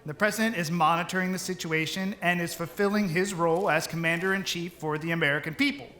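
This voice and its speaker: An actor playing an evasive government secretary at a press briefing in a radio drama, formal, guarded and halting. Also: male voice, a little high, fairly even intonation, sharp tone, echoey room. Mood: firm, defensive.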